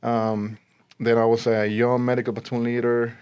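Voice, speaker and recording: A man in his forties with a Puerto Rican accent speaks as an interview guest. His pitch sits in the middle range for a man. He talks in a conversational way, telling his own story. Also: level tone, normal speed, a little mellow, a little hiss or hum. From Puerto Rico. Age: 40s. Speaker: interview guest, realtor and army veteran.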